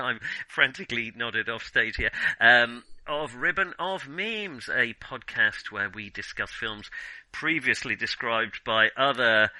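A man with a very bright, forceful voice at a middle pitch, recorded dry and close. He talks casually as a film podcast host, wry and jokey, happy to poke fun at himself. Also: no room sound, poor audio. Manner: wry, self-deprecating, jokey. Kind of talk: casual film discussion with playful scripted intro.

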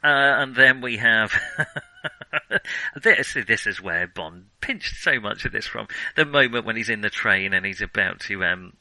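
Guy rambling about movies, very bright forceful voice, close dry sound, kinda amused. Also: lowish voice, unhurried, no room sound, low-quality recording. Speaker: film podcast host. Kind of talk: casual film discussion.

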